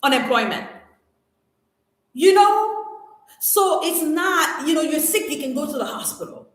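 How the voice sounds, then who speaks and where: A middle-aged woman from Cameroon whose first language is French hosts a casual live Q&A. She talks in an animated, emphatic way with slangy exclamations, and her voice sits a little high. The sound is heavily echoey, as if she is in a bare room. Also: a little slow. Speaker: livestream host answering viewer questions.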